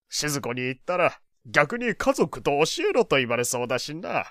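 An actor playing a genial, slightly pompous old gentleman with old-fashioned, avuncular speech in an audio drama. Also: fairly high pitch, big pitch swings, unhurried, dry recording, full character voice. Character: genial old gentleman, pompous but kindly family man. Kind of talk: Japanese audio drama acting.

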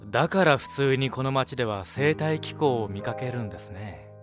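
An actor playing a dutiful, courteous young cafe assistant in an audio drama, with a gently teasing manner. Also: male voice, medium pitch, animated, normal speed, music in the background, close to an everyday voice. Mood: curious. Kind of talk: Japanese audio drama acting.

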